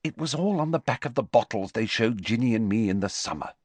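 Know-it-all teenage girl as read by a male narrator, lecturing, lively, close. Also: mid-range voice, husky, dry recording, voice pushed up. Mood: cool. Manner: brisk and lecturing. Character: clever, bossy teenage schoolgirl, scornful.

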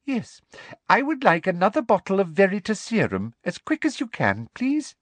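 A man voicing a furious middle-aged witch headmistress in a high, sweetly girlish voice that rises to shrieks. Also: wildly swooping pitch, moderate pace, no echo, heavily acted. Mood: sugary.

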